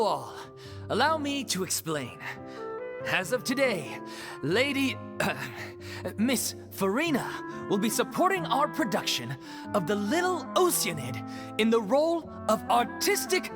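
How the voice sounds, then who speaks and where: Storytelling troupe member, mid-pitched and animated, scored game scene. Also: a little slow. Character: theater troupe member explaining a play. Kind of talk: game cutscene voice acting. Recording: game soundtrack music behind.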